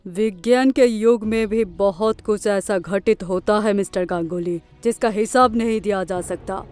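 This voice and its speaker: A woman's voice: mid-range voice, unhurried, a little mellow, dry recording, close to an everyday voice. A woman voicing a solemn school principal in a cartoon story. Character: solemn female school principal explaining a tragedy. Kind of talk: cartoon voice acting. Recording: faint music behind the voice.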